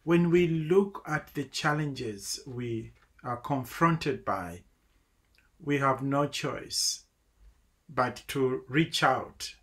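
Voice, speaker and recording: A middle-aged man from Zambia giving earnest, measured closing remarks on a webinar video call from a home office. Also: medium pitch, animated, fairly bright tone. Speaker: webinar speaker.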